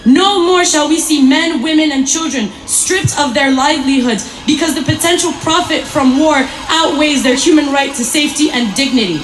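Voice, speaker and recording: A woman's voice: high-pitched, normal speed, hardly any pauses. A woman delivering an impassioned, prepared protest speech with emphatic, rhetorical phrasing. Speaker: activist speaker at a climate rally. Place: outdoor city centre rally in rain. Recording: echoey outdoor PA with noise.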